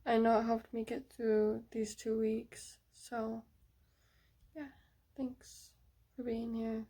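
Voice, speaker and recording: A woman's voice: a little high, level tone, slow pace, dark tone, slightly rough. A woman talking in a casual video diary, hesitant and rambling with many fillers, sometimes dropping to near-whispers. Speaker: vlogger.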